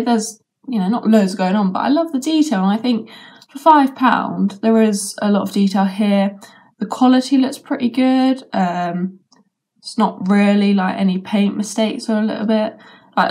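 Croaky young British woman unboxing toys. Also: mid-range voice, warm tone. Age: young adult. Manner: chatty, rambling with ums and likes. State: sore throat. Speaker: toy reviewer. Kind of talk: casual unboxing chat. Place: bedroom with toy shelves, indoors.

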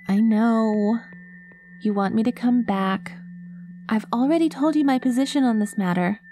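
A woman performs as a sweet young alien girl on a rescue mission. Her voice is fairly high yet soft and mellow, with an unhurried pace. She is earnest and easily flustered, talking to herself in an intimate ASMR audio drama. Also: a little hiss or hum, natural voice. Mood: firm, defiant. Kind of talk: ASMR roleplay acting, soft-spoken.

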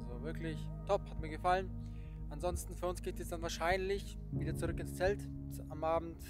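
A man's voice: a little high, fairly even intonation, brisk. A man giving casual, enthusiastic travel vlog commentary in an informal way. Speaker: travel vlogger. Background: background music.

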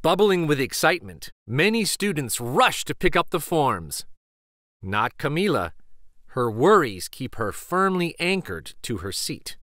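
A man reading a children's story aloud in an expressive, deliberate way. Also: fairly high pitch, no echo, clean studio sound. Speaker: storybook reader.

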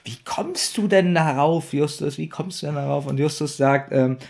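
A man chatting on a podcast about an old radio play, his voice slightly high with a strong gravelly fry. He laughs easily and talks in halting bursts. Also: darkish tone. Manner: giggly, halting with fillers. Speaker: podcast co-host. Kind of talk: casual chat reviewing a radio play.

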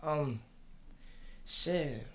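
Laid-back teen rapper from the Bronx. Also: male voice, a little high, a bit bright. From the Bronx, New York. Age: teens. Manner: laid-back, slangy, halting answers. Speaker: rapper guest. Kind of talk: casual interview. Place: radio studio. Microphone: studio mic on stand.

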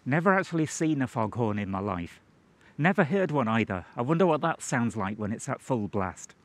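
A man's voice: medium pitch, big pitch swings, normal speed. A man in his forties presenting an explanatory vlog in a conversational, methodical way. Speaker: video presenter. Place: outdoors and inside a moving car. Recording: clear ambient outdoor and car noise.

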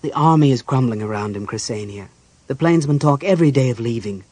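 A man playing a cold, controlled, scheming archmage in a fantasy audiobook, speaking in a measured, formal, commanding way. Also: mid-range voice, expressive, warm tone, breathy edge, no echo. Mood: persuasive, grave.

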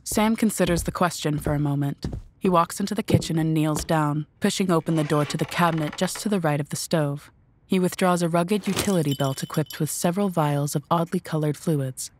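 A woman's voice: low voice, fairly quick, noisy background. A woman reading scripted narration for an audio drama.

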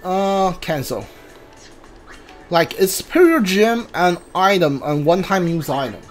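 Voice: medium pitch, very animated, a little slow. A video game streamer giving casual playthrough commentary, thinking aloud and muttering reactions while playing. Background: game music.

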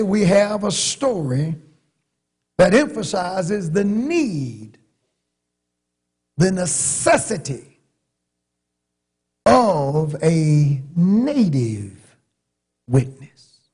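A middle-aged man from North Carolina with a Southern accent, preaching a sermon from a church pulpit with long pauses and rising emphasis. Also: on the high side, darkish tone, slightly rough. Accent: Southern American. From North Carolina, USA. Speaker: pastor. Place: large church sanctuary, at a pulpit. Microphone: pulpit microphone.

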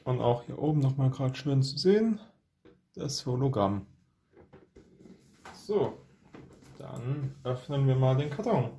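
A man's voice: mid-range voice, lively intonation, slow pace, pauses often, mellow, slight background noise. A man hosting an unboxing with casual hands-on commentary, recorded indoors at a table. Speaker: unboxing video host.

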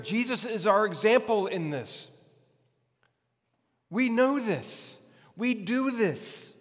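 A man in his forties preaching a conversational sermon as a pastor, with emphatic repetition and deliberate pauses. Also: high-pitched. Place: large hard-walled church hall, on stage. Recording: long hall reverb.

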